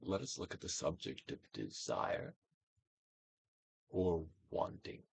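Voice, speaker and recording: A man with a low voice reads aloud like a stage actor giving a dramatic recital. His delivery is solemn and declamatory, with wide swings of intonation. The sound is close and dry, with very little room around it. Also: sing-song, slow, creaky, husky, no room sound. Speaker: actor reading a book aloud. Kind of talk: dramatic audiobook reading, theatrical Shakespearean delivery.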